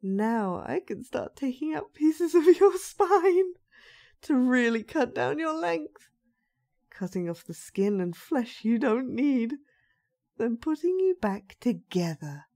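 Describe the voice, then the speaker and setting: A woman's voice: high-pitched, very animated, slow pace, dark tone, exaggerated voice. A woman playing an unhinged, sweetly sinister young dollmaker in a horror audio roleplay, with childlike, sing-song menace. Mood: gleeful menace.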